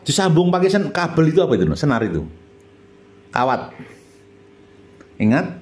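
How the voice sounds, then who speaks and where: A middle-aged man speaks in a Central Javanese accent with a very animated, swooping pitch. He talks like a chatty host reminiscing aloud. He often checks in with his listener through short questions. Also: moderate pace. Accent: Javanese Indonesian, Central Java. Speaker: channel host, former radio announcer. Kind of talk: reminiscing monologue with a companion chiming in.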